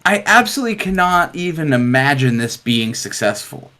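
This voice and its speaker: A man's voice: fairly high pitch, very animated, normal speed, sharp tone. A man co-hosting a movie podcast, giving his opinions in a casual, conversational way. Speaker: podcast co-host.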